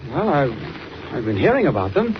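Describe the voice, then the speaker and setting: A mild-mannered young reporter, polite and eager, in a forties radio serial. His voice is light and high with very lively inflection, under noticeable old-recording hiss. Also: fairly quick. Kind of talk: 1940s radio acting. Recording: noisy, muffled 1940s radio serial recording.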